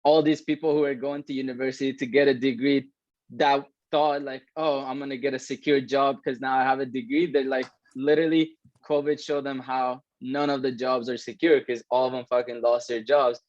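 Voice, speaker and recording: A young man in his twenties, from Iran, talks loosely, swears often and tags his sentences with "right?". His voice sits at an ordinary pitch. The recording sounds dull and lo-fi, with a dry, close feel. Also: level tone, brisk, a little mellow, dry recording. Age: 20s. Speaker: co-host. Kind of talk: casual chat.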